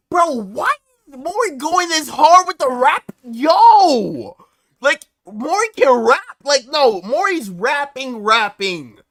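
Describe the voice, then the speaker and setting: A man hosting a live reaction stream, talking casually in slang and breaking into excited outbursts. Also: extremely high pitch.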